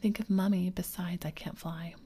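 Woman whispering as a prim, excited young girl. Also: lowish voice, normal speed, husky. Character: well-mannered young girl, eager and excitable.

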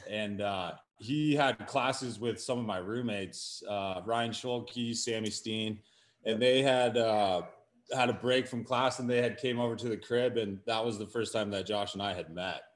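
A man's voice: fairly low pitch, a little slow. A man in his thirties from Colorado, talking casually as a podcast guest, his voice a little scratchy. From Colorado, USA. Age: 30s. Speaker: guest, business co-owner. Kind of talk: casual chat.

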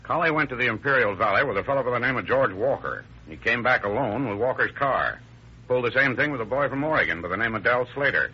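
An actor playing a shrewd, hard-nosed police inspector in an old-time radio crime drama, with clipped questioning. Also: male voice, medium pitch, lively intonation, quick pace, husky. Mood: shrewd, businesslike. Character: brusque, shrewd male police inspector interrogating. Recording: dull vintage radio recording.

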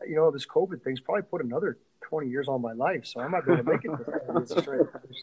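Middle-aged man, halting and conversational. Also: mid-range voice, husky, poor audio. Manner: halting, thinking aloud, trailing off. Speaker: college strength and conditioning coach being interviewed. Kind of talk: casual interview conversation.

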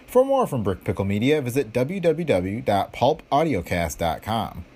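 A man with a husky voice reading a scripted podcast introduction as its host. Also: mid-range voice, sing-song, moderate pace, a little mellow.

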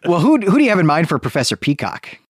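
A man's voice: a little high, sing-song, fast, breathy edge, no echo, studio quality. A man hosting a podcast in casual discussion, talking in a chatty, enthusiastic, rambling way. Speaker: podcast host.

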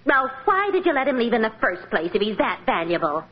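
An actress playing a long-suffering working wife and mother in a 1940s radio comedy, expressive and easily flustered. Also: on the high side, brisk, husky. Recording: noisy muffled vintage radio recording.

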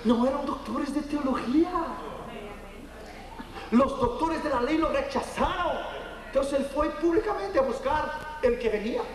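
Fervent preacher, echoing hall. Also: male voice, animated, a little slow, pauses often. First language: Portuguese. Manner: fervent preaching, swinging between loud high peaks and low quiet storytelling. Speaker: evangelist preacher. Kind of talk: sermon. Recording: echoey hall heard from far off.

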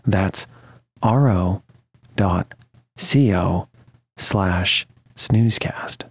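Male ad reader for a scripted podcast spot, lowish pitch, dry close sound. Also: drawn-out words, dry recording. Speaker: advertisement reader.

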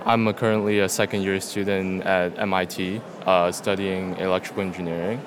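A young man from Guangzhou, China speaks in a very deep, flat, matter-of-fact voice. Clear city noise is heard behind him outdoors. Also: brisk. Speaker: engineering student, new citizen.

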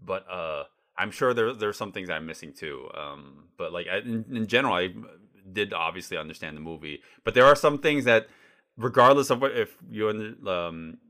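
Animated deep-voiced man chatting about films. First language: Cantonese. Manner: rambling, animated. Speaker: film discussion podcast speaker. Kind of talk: casual chat about films.

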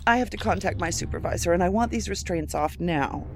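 Actress as shaken, creaky-voiced female agent, music behind. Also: lowish voice, a little fast, lightly acted. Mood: urgent, demanding. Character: shaken but assertive female federal agent, restrained patient. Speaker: actor. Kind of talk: audio drama acting.

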